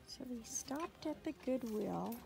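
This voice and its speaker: Older woman vlog host, unhurried, slightly gravelly, loud store ambience. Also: fairly high pitch, animated, background noise. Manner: slow, easygoing narration while browsing. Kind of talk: casual shopping vlog chat. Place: busy thrift store indoors. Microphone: handheld phone camera.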